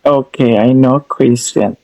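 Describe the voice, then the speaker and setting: A man's voice: medium pitch, slow, mellow, poor audio. A man with an Indian accent leading a casual English practice conversation as a learner, hesitant and often repeating his phrases.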